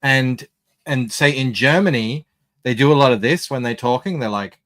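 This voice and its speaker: A man's voice: medium pitch, moderate pace, fairly bright tone. Australian man in casual chat. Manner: chatty, explains at length.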